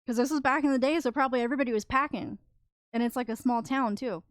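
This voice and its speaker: A woman co-hosting a podcast, earnestly walking through a story in a casual chat. Her voice is smooth and clean, recorded close and dry. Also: sits high, fast talker, dry recording. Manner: explains, recounts stories earnestly. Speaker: podcast co-host.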